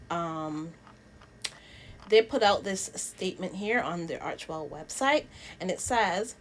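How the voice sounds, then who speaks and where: A woman from Barbados hosting a casual news commentary vlog, talking and reading aloud in a halting way, repeating words for emphasis. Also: mid-range voice, a little hiss or hum. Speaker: host of a royal news commentary channel.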